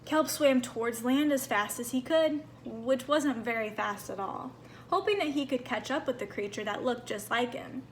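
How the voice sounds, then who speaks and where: High-voiced woman reading a children's storybook. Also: normal speed, slight background noise. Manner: animated, acts out small moments. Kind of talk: expressive children's read-aloud.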